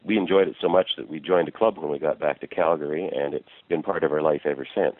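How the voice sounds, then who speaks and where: Deep bass older man on a tinny line. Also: no echo. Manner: measured, explanatory, with frequent uh fillers. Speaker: retiring physician and columnist, interview guest. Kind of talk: relaxed interview answers. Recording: tinny phone-like line, dry.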